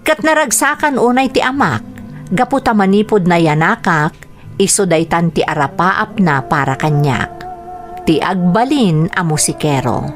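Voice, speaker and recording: A woman's voice: lowish voice, lively intonation, a little slow. A woman playing a young music lover who recounts childhood in reflective, earnest radio drama narration. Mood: fond, reflective.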